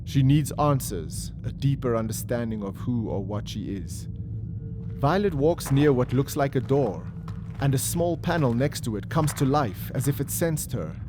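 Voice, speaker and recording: A man reading dramatic scripted narration in a measured, solemn manner. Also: mid-range voice, animated, background noise. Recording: loud music under voice. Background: loud dramatic music.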